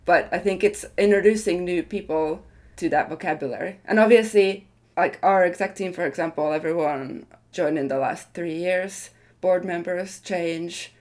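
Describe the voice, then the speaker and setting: A woman's voice: fairly low pitch, moderate pace, darkish tone. A woman speaking as a design leader in an interview, reflective and careful to qualify what she says. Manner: thoughtful, hedging, self-qualifying.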